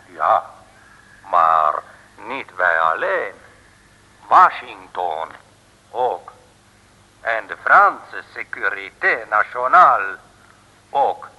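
Radio-play villain: cold, measured secret agent with a bright, forceful, husky voice. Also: male voice, fairly low pitch, very animated, lo-fi sound, heavily acted. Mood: pompous, menacing. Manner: slow, measured, pompous pronouncements. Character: sinister male secret agent, coldly menacing villain. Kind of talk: 1950s Dutch radio play acting. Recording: old radio recording with long reverb.

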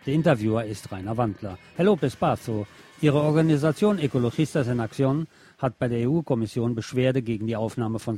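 A man's voice: medium pitch, mellow. A man presenting on the radio, reading press articles aloud.

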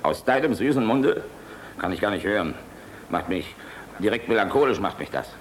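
An actor playing a cynical, hard-drinking middle-aged general in a radio play, gravelly and wry, swinging between gruff and tender. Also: male voice, lowish voice, expressive, normal speed, a little hiss or hum, lightly acted. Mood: flirtatious, tender.

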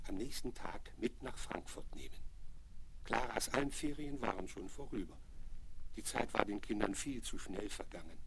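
A man's voice: lowish voice, animated, moderate pace, gravelly. A man playing the storyteller, reading scripted narration in a 1970s German children's audio play. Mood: storytelling. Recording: very noisy, crackly 1970s vinyl rip.

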